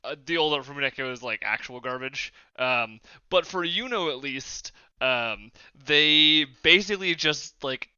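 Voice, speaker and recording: A man's voice: fairly high pitch, lively intonation, normal speed, hardly any pauses, bright, forceful tone, smooth, dry recording, lo-fi sound. A man chatting casually on a podcast, giving emphatic, colloquial opinions.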